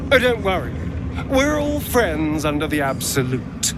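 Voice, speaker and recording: A man's voice: a little high, big pitch swings, measured pace, background noise. A man playing a sardonic, vain vampire spawn in a video game, with an arch, flippant, theatrical delivery. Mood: wry, playful. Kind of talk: video game character acting. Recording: music behind the voice.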